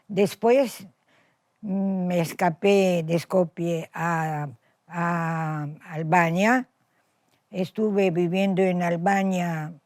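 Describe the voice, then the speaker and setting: An elderly woman in her eighties speaks with an Italian accent, slowly and deliberately. She tells her memories in a reflective way, pausing to correct herself. The recording is dry and close, with no room sound. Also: on the low side, darkish tone, no echo. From Macedonia. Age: 80s. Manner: reflective, self-correcting storyteller. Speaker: interviewee, Holocaust survivor. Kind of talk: recorded testimony interview.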